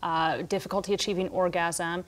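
She is a woman. Her voice sits a little low. She explains things in clinical terms, as a practitioner on a television panel would. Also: steady tone, moderate pace. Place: TV studio round table. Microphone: lapel mic.

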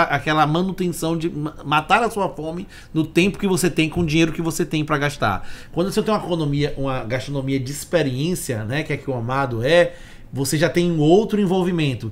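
A Brazilian man around forty hosting a conversational podcast, explaining points by asking and answering his own questions into a desk microphone. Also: a little high. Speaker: podcast host, accountant. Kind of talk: conversational business podcast talk. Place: indoor meeting room with wood panelling. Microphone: desk microphone on stand.

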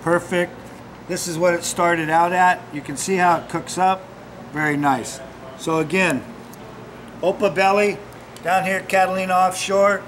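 A man's voice: high voice, fairly even intonation, measured pace, a bit bright, a little hiss or hum, poor audio. A man in his fifties, a fishmonger giving an upbeat, joking cooking demo in a small shop kitchen, recorded on a camera mic. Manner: upbeat, joking, salesman-like chatter. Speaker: fishmonger demonstrating seafood.

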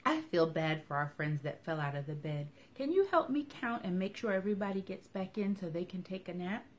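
A woman's voice: lowish voice, lively intonation, a little fast, slight background noise. A woman hosting a storytime for young children, talking to them in simple, instructive phrases. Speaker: children's library storytime host.

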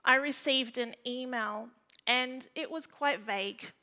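An Australian woman in her thirties giving an earnest, measured personal talk on a stage through a headset mic. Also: high voice, sharp tone. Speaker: keynote speaker, photographic digital artist. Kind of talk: prepared stage presentation, personal storytelling. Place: large indoor theatre stage.

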